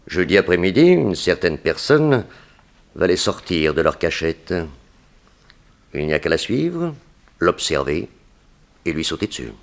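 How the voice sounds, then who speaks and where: An actor playing a vengeful, scheming gangster in a 1960s French radio crime drama, speaking in a deliberate, insinuating way. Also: male voice, low voice, sing-song, gravelly, exaggerated voice. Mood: guarded, conspiratorial.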